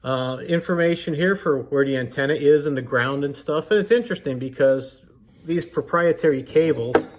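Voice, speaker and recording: An American man giving a casual, unscripted product demonstration in a measured, explanatory way. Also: on the high side. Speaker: vintage radio collector presenting a video review.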